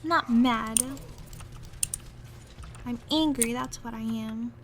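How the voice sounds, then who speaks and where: A woman's voice: fairly high pitch, takes it slowly. A woman playing a jealous, pouty young gamer girlfriend in a casual, half-improvised roleplay audio. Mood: annoyed. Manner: pouty, grumbling, irritated. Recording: noisy room, clattering computer keyboard. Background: loud keyboard typing and background noise.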